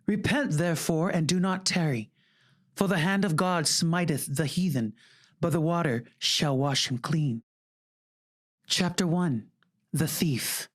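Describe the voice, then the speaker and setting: An announcer giving a formal, measured and solemn scripted reading for an audiobook. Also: medium pitch.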